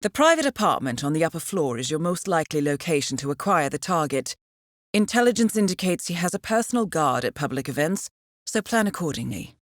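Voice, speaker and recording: Composed, low-pitched female spy handler. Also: very animated, a little fast, no room sound, studio quality. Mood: composed, businesslike. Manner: composed, formal, understated, drily ironic. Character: composed, dryly witty female intelligence handler to an assassin. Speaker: mission handler. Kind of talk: scripted video game mission briefing.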